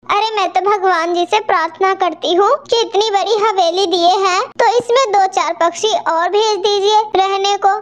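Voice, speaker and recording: Cartoon pigeon housewife, played by a woman: very high, childlike pitch, chatty and complaining. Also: steady tone, normal speed, few pauses. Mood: exasperated. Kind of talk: Hindi cartoon story dubbing.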